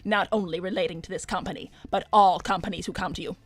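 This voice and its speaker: A woman's voice: on the low side, fairly even intonation, fast talker, no echo, close to an everyday voice. A woman voicing a glamorous, scheming hedgehog businesswoman in an improvised cartoon chat, suave, commanding and theatrically persuasive. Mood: businesslike persuasion. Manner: suave, theatrical, commanding, calls others darling. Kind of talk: improvised in-character livestream chat.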